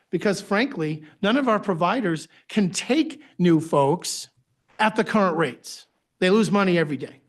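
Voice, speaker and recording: A man with a Boston accent, giving a conversational presentation to a board at a public meeting, with phrase ends that trail off. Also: fairly high pitch. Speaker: agency director presenting to a board.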